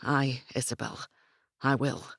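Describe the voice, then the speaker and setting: A woman voicing a brooding Highland warrior, speaking in a grave, hushed way. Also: fairly even intonation, slow pace, vocal fry, dry recording, studio quality, exaggerated voice.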